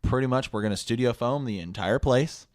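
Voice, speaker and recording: A man's voice: mid-range voice, brisk, a little mellow, dry recording, studio quality. A man hosting a podcast, making a casual announcement in an earnest way and often repeating his phrases. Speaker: podcast host.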